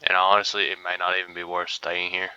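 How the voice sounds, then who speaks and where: A man's voice: deep voice, level tone, fast talker, sharp tone, clean tone, dry recording, muffled recording. A young man casually commentating a hunting game, groggy and rambling as if he just woke up. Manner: laid-back, rambling, mumbly asides. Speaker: gaming streamer.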